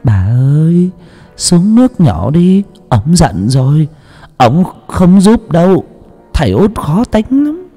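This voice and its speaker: A meek young serving woman, voiced by a man, soft and deferential, bowing her words to her mistress. Her pitch is raised and moves a lot. Faint music plays underneath. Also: very animated, takes it slowly, slightly rough, full character voice. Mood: urgent. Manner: timid, deferential.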